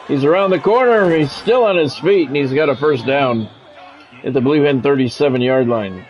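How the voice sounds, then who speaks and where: A man's voice: medium pitch, moderate pace, muffled recording. An American man calling live football video game play-by-play in clipped announcer phrases, with casual asides. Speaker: play-by-play streamer.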